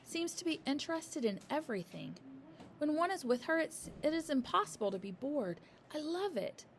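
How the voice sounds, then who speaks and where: A gentle young schoolteacher speaks softly and tenderly, voiced by a woman reading a storybook. The voice is fairly high and lilting. A faint hiss sits under the recording. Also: normal speed. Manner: soft, calm, tender.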